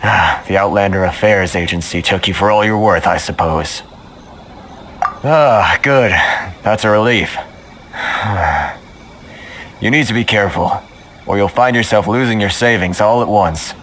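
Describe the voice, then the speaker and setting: Deep-voiced, weary merchant. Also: male voice, a little slow, pauses often, fairly bright tone, a little hiss or hum. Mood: welcoming. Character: weary, courteous male merchant, head of a trade association. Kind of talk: video game dialogue acting. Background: game music.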